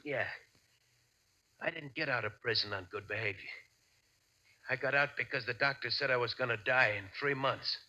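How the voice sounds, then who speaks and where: Vengeful doomed ex-con: mid-pitched, intensely edged voice, menacing taunts, old-time radio thriller. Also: male voice, moderate pace, long pauses, pushed and bright, dull audio. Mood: grim resolve. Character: vengeful dying male ex-convict, grimly taunting. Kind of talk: 1940s radio drama acting.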